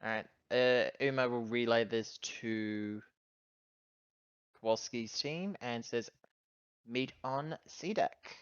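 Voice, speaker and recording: Male tabletop player, medium pitch, casual game talk, dry slightly lo-fi recording. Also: slow pace, pauses often, clean tone, no echo.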